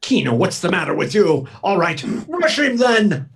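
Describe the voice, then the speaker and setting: A scheming crime boss from an old radio serial, with a high, gravelly, raspy voice. He is imperious and theatrical, swooping through his menacing lines with a short temper. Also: very animated, moderate pace, very noisy. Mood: frantic, angry. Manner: theatrical, menacing, formal wording. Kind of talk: 1940s radio serial acting.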